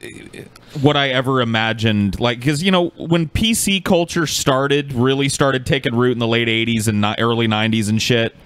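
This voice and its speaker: A man's voice: mid-range voice, normal speed, fairly bright tone, clean tone, dry recording. Blunt sardonic guy on a podcast, swears a lot, mid voice, dry mic. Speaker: podcast co-host. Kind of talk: casual profane panel commentary.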